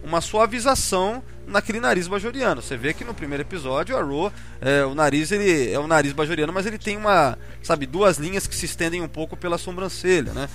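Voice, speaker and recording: A man's voice: on the high side, lively intonation, fast, hardly any pauses, a bit bright. A Brazilian man hosting a podcast, casually discussing a TV series and peppering his talk with tag questions. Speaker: podcast host. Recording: music under the voice.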